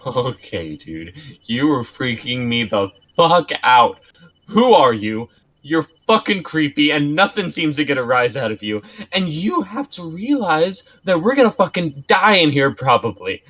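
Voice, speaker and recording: A man's voice: high-pitched, big pitch swings, normal speed, bright, forceful tone, husky, voice pushed up, heavily acted. A man playing a panicky, exasperated trapped coworker, blunt, agitated and foul-mouthed. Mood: freaked out.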